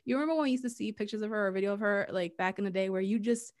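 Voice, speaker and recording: A woman co-hosting a podcast, chatting casually with a friend. She talks in an animated, playful way, laughs often and drops Spanish phrases into her English. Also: mid-range voice, racing. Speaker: podcast co-host. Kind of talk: casual chat.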